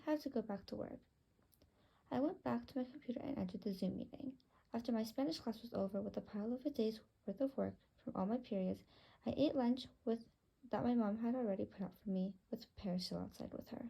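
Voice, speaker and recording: Young female narrator for a read-aloud story; high-pitched, husky, soft-edged tone. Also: low-quality recording. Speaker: author reading own story aloud.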